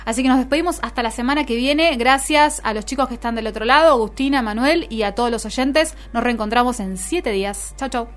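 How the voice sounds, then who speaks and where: A woman's voice: on the high side, fairly quick, hardly any pauses. An Argentine woman hosting a radio show in casual, informal chat with her co-hosts. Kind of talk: casual radio chat about the week's news. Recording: music bed under the voice. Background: music.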